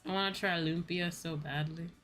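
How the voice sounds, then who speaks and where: A woman's voice: on the low side, steady tone, normal speed, fairly bright tone. A young woman chatting casually on a livestream, sounding sleepy, rambling and playfully repeating herself. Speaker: VTuber livestream host. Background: background music.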